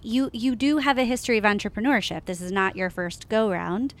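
A woman hosting a podcast interview, chatty and playful, joking and quick to laugh. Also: medium pitch. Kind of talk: casual interview.

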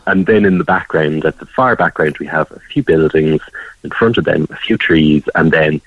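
A man talking in a measured, descriptive way as an art gallery guest in a radio interview. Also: very deep voice, husky. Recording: dull band-limited, roomy remote radio feed.

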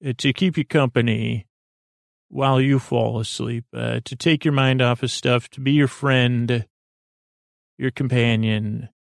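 A man with a creaky, fry-laden voice speaks in a very even, lulling tone on a close, dry recording. He rambles gently and reassuringly like a bedtime podcast host, halting often and drifting through filler words and tangents. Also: mid-range voice, no echo. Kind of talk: rambling soothing bedtime monologue.

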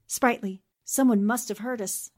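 A woman's voice: a little high, fairly even intonation, moderate pace, a little mellow, a little hiss or hum, lightly acted. An actress playing a brave, serious American newspaperwoman in a 1940s radio drama, earnest and resolute in manner. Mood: urgent.

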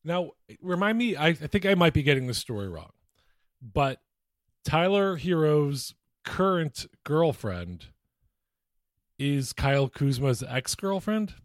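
A man's voice: a little high, very animated, slow, dry recording, clean studio sound. An American man co-hosting a podcast in a casual, conversational sports-chat style. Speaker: podcast co-host.

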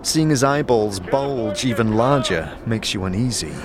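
A man's voice: mid-range voice, expressive, measured pace. A man reading scripted second-person narration for a fantasy audio drama.

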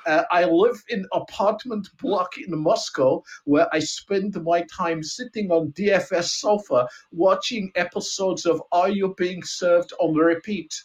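A man voicing a retired Russian KGB colonel in improvised comedy, with a mock Russian accent that sometimes slips into Scottish, halting and nostalgic in broken English. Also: high-pitched. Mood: boastful, content. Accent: mock Russian, sometimes slipping into Scottish. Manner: halting, rambling, broken English with dropped articles. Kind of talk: improvised comic role-play.

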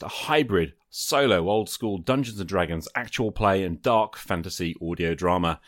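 A man's voice: on the low side, no echo, clean studio sound. A man hosting a podcast, giving introductions and putting questions to a guest. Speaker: podcast host and interviewer. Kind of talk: scripted podcast introduction and interview.

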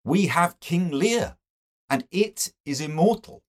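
A man's voice: fairly high pitch, expressive, takes it slowly, pitched above the performer's own voice. A man voicing a lofty, impatient man of letters in a novel, dismissive and exclamatory.

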